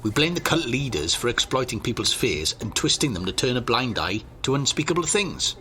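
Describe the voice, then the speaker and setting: A man's voice: mid-range voice, sing-song, brisk, bright, forceful tone, slight background noise. A man acting in an audio drama as a level-headed friend who reasons and keeps the peace. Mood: reasoning.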